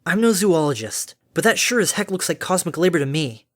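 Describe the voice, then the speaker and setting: A brash female pony adventurer, performed by a woman reading a story. She talks in a blunt, folksy way with an exasperated edge. The pitch is raised and punchy. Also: sing-song, brisk. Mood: skeptical. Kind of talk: animated audiobook character acting.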